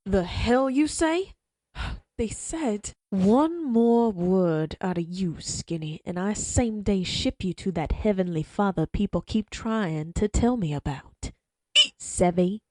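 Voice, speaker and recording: A woman playing a menacing female outlaw leader who taunts her captives in a slow, mocking drawl. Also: mid-range voice, warm tone, dry recording. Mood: taunting. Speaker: voice actress. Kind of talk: audio roleplay acting.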